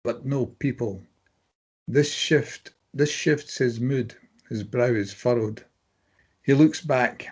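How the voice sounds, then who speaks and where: Husky guy narrating a script, mid pitched, reading out stage directions. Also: darkish tone, highs cut off. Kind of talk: scripted dramatic narration in present tense.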